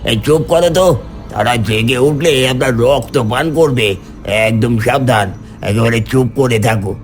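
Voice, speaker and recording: A man voicing a grim, menacing undead cart driver, grave and ominous, in an animated horror story. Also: medium pitch, measured pace, faint music behind, natural voice.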